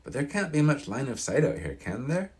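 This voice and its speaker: Man acting a young audiobook character, polite, curious, questioning, lifted pitch, animated delivery. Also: a little fast, lightly acted.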